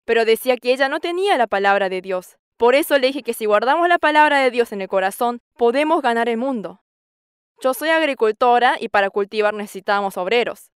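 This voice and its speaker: A woman in her sixties gives an earnest church testimony on a studio stage, quoting scripture at length. Her voice is high and clear. The sound is dry, close and steady, from a lapel mic. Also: dry recording. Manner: earnest, quotes scripture at length and acts out dialogue with a raised voice. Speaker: farmer giving testimony.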